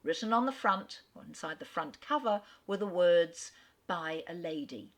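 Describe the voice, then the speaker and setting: A woman lecturer giving a literary talk, telling the story in an expressive way and acting out quoted lines. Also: fairly low pitch, moderate pace. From Australia. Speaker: lecturer on authors.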